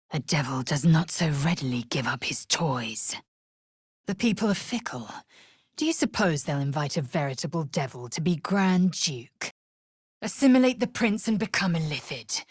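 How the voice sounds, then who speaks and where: Game role: imperious female alien warrior, deep for a woman, deliberate pace, haughty, clipped and commanding. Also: breathy edge, dry recording, studio quality, heavily acted. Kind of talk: video game character voice lines.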